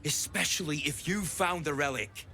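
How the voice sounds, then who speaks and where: An actor playing a gruff, blunt, hot-tempered but weary mercenary in a fantasy video game. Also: male voice, fairly high pitch, normal speed. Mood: bitter, urgent. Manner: blunt, swearing, forceful. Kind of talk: video game character acting.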